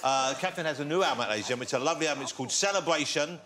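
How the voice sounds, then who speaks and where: A man's voice: fairly high pitch, racing. A middle-aged man hosting a TV chat show, asking questions in a playful, jokey way. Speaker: talk show host. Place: TV studio with loud audience. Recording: loud studio audience and noise.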